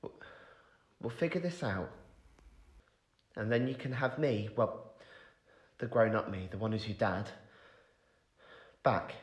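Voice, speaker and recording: A man's voice: fairly low pitch, expressive, takes it slowly, long pauses. A man playing a young, emotional, protective father-to-be in an audio drama, stumbling over his words in a roomy space. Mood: softened, reassuring. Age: young adult. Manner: emotional, stammering. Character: young father-to-be, shocked, indignant, protective of friends. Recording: roomy, echoing sound.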